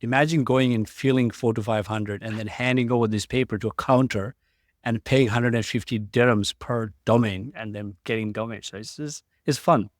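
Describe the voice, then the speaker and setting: Halting man from Sri Lanka. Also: medium pitch, steady tone, dry recording, studio quality. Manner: halting, many restarts and fillers. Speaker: guest, tech founder. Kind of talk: casual interview storytelling.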